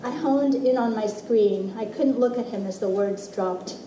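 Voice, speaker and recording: A middle-aged woman reading a personal essay aloud as expressive, deliberate storytelling with dramatic pauses. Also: on the high side. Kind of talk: literary reading of a personal essay to a live audience. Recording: roomy, distant mic with faint noise.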